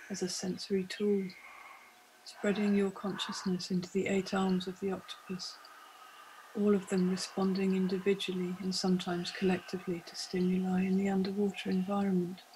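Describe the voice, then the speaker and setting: Female meditation guide, flat intonation, mid pitch, deliberate phrasing, faint noisy ambience behind the voice. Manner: measured, short deliberate phrases with pauses. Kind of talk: scripted guided meditation narration.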